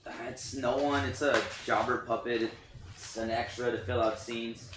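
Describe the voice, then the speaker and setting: An actor in a staged play reading playing a nervous, awkward puppeteer who speaks haltingly. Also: male voice, mid-range voice, fairly even intonation, natural voice. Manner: hesitant, stammering. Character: nervous, awkward American children's TV puppeteer man. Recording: roomy, distant mic, faint noise.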